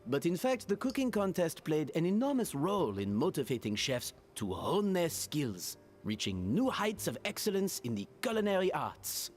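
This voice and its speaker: Brief: a formal, ornate host of a fine restaurant, very animated delivery. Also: male voice, fairly high pitch, normal speed, few pauses, soft background music. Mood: courtly. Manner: flowery, formal, courteous phrasing. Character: courtly middle-aged male restaurant manager, polite and formal. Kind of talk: game voice acting.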